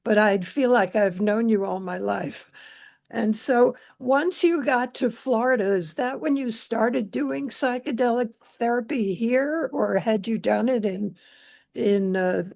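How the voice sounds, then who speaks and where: A woman's voice: a little high, a little slow, creaky, darkish tone. A woman hosting a casual interview, friendly and laughing often, with short interjections. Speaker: interviewer host.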